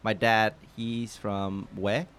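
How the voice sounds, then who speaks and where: A man's voice: lowish voice, flat delivery, slow pace, fairly bright tone, a little hiss or hum. A man co-hosting a podcast in casual chat, rambling and laughing through his own jokes. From San Jose, California. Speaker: podcast co-host.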